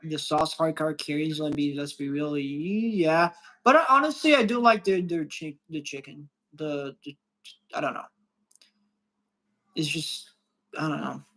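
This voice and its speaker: A man's voice: fairly high pitch, lively intonation, measured pace, fairly bright tone, rough recording. A young man from Brazil chatting casually as a podcast co-host, loose and profane, often restarting his sentences. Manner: loose, swearing, rambling with restarts. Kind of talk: casual chat.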